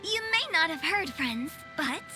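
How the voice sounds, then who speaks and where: A woman's voice: very high-pitched, big pitch swings, a little slow, music in the background, full character voice. A woman playing a playful, sly young female funeral director in a video game, teasing and chirpy. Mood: breezy, flattering. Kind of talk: English video game voice acting.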